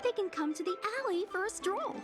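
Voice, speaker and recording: High, animated young merchant woman. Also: fairly quick. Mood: eager, persuasive. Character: brisk enterprising young female merchant guild organizer. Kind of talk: video game character dialogue. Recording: background music behind voice.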